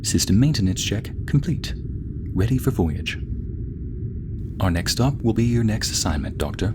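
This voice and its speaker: A man voicing a courteous, soothing American spaceship AI assistant in a measured, formal ASMR roleplay narration. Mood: calm, formal, then courteous, helpful. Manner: polite, measured, formal announcements with gentle explanations. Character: courteous, soothing male spaceship AI assistant, eager fact-sharer. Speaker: ship's AI guide and narrator. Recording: steady rumbling spaceship ambience behind voice. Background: low engine rumble ambience.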